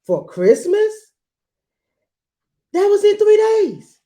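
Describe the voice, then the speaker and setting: A woman reading a children's picture book aloud expressively and gently, adding small asides as she goes. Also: extremely high pitch, big pitch swings. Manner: gentle, unhurried, adding commentary to listeners.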